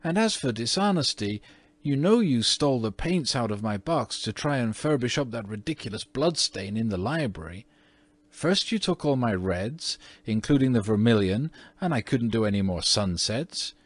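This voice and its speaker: A man's voice: lowish voice, big pitch swings, unhurried, no room sound. A man voicing a prim, spirited young American girl in a storybook, earnest and scolding. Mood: indignant. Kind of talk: storybook character reading.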